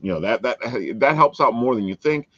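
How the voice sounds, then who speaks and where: A man's voice: medium pitch, expressive, a little fast, fairly bright tone, no echo, lo-fi sound. A man hosting a casual promotional chat, talking in a folksy way and repeating phrases for emphasis. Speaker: show host.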